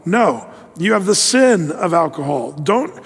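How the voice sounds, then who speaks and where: A man's voice: on the high side, very animated, measured pace, breathy edge. A man preaching a verse-by-verse sermon, talking in a conversational way, reading scripture aloud and often restarting his sentences. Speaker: pastor. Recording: hall reverb.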